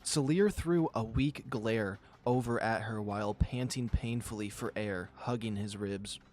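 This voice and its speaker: A man's voice: fairly low pitch, measured pace, darkish tone, faint music behind. A man reading a visual novel aloud as a channel host, in a storytelling style with casual commentary.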